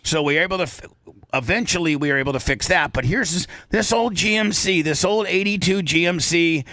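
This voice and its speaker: A man's voice: on the high side, brisk, bright, forceful tone, dry recording. A man hosting a talk show, telling a story casually and animatedly, often repeating phrases for emphasis.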